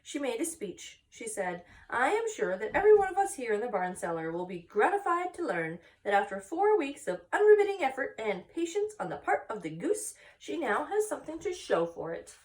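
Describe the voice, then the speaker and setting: A woman's voice: fairly high pitch, very animated, moderate pace, few pauses, darkish tone, voice pushed up. A woman voicing an earnest, chatty young farm girl who loves animals, in a storybook read aloud, slightly far from the mic. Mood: earnest, proud.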